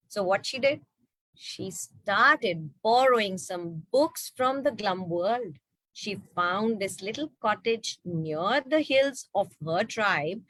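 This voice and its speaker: Unhurried, high-voiced woman storyteller. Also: dry recording, poor audio. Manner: expressive, asks rhetorical questions. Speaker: storyteller at an online children's book reading. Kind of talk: storytelling for children.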